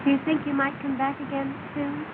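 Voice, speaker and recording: Gentle, wistful young lady, played by an actress, high voice, 1930s film, tinny narrow sound. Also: monotone, fairly quick, very noisy, natural voice. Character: gentle, wistful well-bred young woman in love. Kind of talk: 1930s film drama acting.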